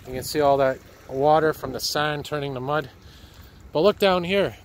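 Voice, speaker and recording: A man, slightly hoarse from a cold, narrating as he walks by a flooded river with a handheld camera. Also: on the high side, moderate pace. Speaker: citizen video reporter. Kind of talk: walking commentary. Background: street and water noise.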